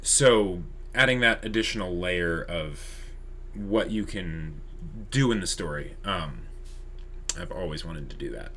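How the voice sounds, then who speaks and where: A man co-hosting an online channel, explaining ideas casually and eagerly, halting and repeating words as he goes. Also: low-pitched, animated, pushed and bright, a little hiss or hum.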